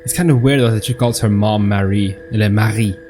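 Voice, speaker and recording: A man's voice: lowish voice, a little fast. A man giving casual, off-the-cuff commentary as he plays a game, thinking aloud and repeating himself. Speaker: gamer commentating on a game playthrough. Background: game music.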